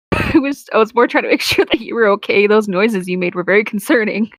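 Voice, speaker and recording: A woman's voice: medium pitch, animated, fairly quick, dry recording. A woman co-hosting a casual comic read-aloud, informal and giggly, often breaking off from the text to comment. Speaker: podcast co-host reading a story aloud.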